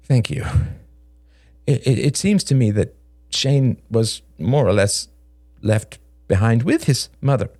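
A man voicing a nervous but defiant younger man in an audiobook drama, stammering and halting as he protests. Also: medium pitch, very animated, darkish tone, no room sound, studio quality, pitched above the performer's own voice, exaggerated voice. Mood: nervous, probing.

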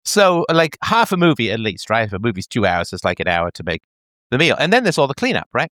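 A man's voice: mid-range voice, fast talker, no room sound, clean studio sound. A man in his thirties in a casual chat, explaining an idea playfully as if talking to a child. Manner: animated, playful, talks as if to a child.

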